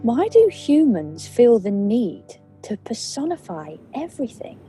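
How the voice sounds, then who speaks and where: A woman performing poetic ensemble audio theatre in an expressive, deliberate way. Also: on the high side. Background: loud plucked guitar.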